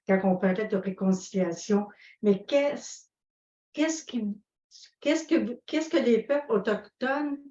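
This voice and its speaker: A woman making a personal comment, deliberate and restating her phrases, on dull, roomy call audio. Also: mid-range voice, a little mellow. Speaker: audience participant. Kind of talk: personal comment at an online conference.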